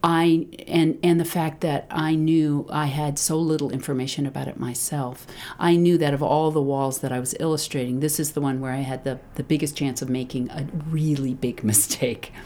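A woman who illustrates children's books, talking thoughtfully in an interview, often pausing, restarting and laughing. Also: deep voice, steady tone, warm tone. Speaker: children's book author and illustrator. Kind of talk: reflective interview talk.

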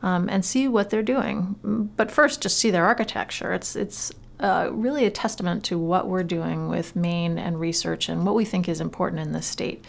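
A woman's voice: on the low side, normal speed, vocal fry, few pauses. A woman hosting a talk show, interviewing in a conversational style.

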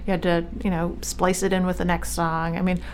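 A woman's voice: fairly low pitch, level tone, a little fast, a little mellow, a little hiss or hum. A woman in her forties hosting a radio interview, chatty and friendly, sometimes tripping over her words. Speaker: radio host.

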